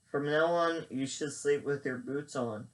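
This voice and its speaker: A man voicing a friendly, helpful Cajun fisherman, a little higher and louder than his own voice. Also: a little slow, natural voice, poor audio. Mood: concerned.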